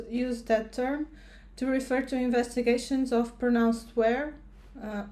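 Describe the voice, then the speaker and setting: A woman's voice: fairly high pitch, warm tone. A woman from Portugal, a researcher giving a recorded educational presentation, speaking hesitantly with many fillers and restarts.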